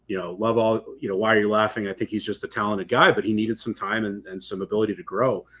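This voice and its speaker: A man's voice: on the low side, fairly bright tone, breathy edge. A man co-hosting a podcast in casual conversation, agreeable and fond of long, winding explanations. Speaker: podcast co-host.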